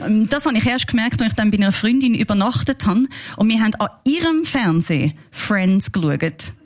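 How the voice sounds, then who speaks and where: A young woman with a Swiss German accent, a comedian telling stories quickly and animatedly in a stage conversation. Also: medium pitch, animated. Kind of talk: stage conversation before an audience.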